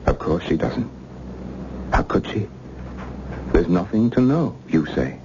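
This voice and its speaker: An actor playing a menacing, coldly polite interrogator with a silky, taunting manner in an old radio thriller. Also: male voice, deep voice, sing-song, a little slow. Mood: slyly taunting. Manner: silky, mock-courteous, taunting. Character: menacing male interrogator, coldly polite villain threatening torture. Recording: muffled, hissy old radio recording.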